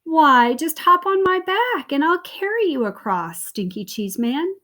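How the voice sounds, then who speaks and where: Female reader doing a sly fox, high, very lively pitch, coaxing, storybook character. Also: measured pace, full character voice.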